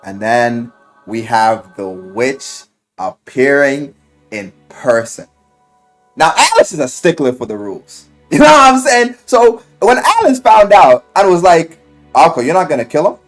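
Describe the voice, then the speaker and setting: A man giving a casual, enthusiastic recap of a story, animated and slangy, swearing freely and acting out the quotes. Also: fairly high pitch, measured pace. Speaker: video game and visual novel reviewer. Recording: music playing behind the voice. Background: music.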